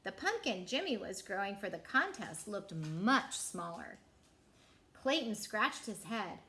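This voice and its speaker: Animated woman reading a children's story. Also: medium pitch. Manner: deliberate, dramatic phrasing for young listeners. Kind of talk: expressive picture-book read-aloud.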